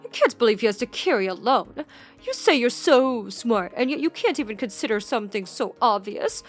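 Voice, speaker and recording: Spiteful, haughty grandmother for story dialogue, forceful and scolding, played by the female narrator, light music behind. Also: on the high side, expressive, normal speed. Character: spiteful, nagging older grandmother, openly playing favorites.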